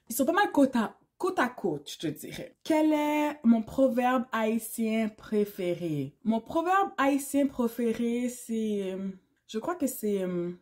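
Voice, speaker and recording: A woman's voice: a little high, darkish tone. A woman in her thirties from Canada with a Québécois French accent, talking casually to camera in a vlog and mixing English words into her speech. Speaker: YouTuber.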